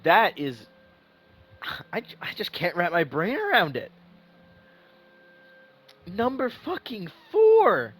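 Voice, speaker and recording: Excitable, fairly high-voiced man reacting to gameplay. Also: takes it slowly, pauses often. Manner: excitable, repetitive, thinking aloud. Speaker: gaming streamer. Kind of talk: casual live reaction commentary.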